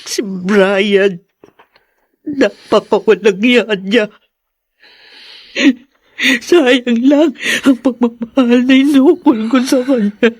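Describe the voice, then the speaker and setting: A woman's voice: a little high, takes it slowly, long pauses, darkish tone, slightly rough, no echo. A Filipino woman playing a grieving, embittered young wife and mother in an emotional radio drama. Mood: bitter. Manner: anguished, emotional delivery.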